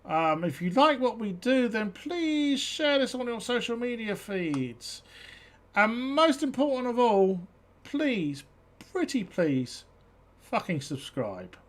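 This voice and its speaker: A woman's voice: on the high side, sing-song, takes it slowly. A woman host with a British accent with a slight South African twang, chatting casually and hesitantly with many ums. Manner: hesitant, lots of ums and restarts. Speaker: show host. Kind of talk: casual chat.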